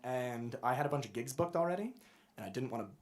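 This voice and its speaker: A young man from Montana, a guest musician in a casual interview, joking about himself in a dry, deadpan way. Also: mid-range voice, fast talker. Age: young adult. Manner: dry, self-mocking deadpan humor.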